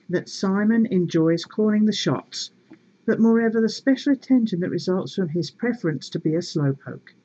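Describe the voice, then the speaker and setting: A woman reading a story aloud in a measured, expressive and wry way, with casual asides to her viewers. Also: medium pitch, slightly rough, muffled recording.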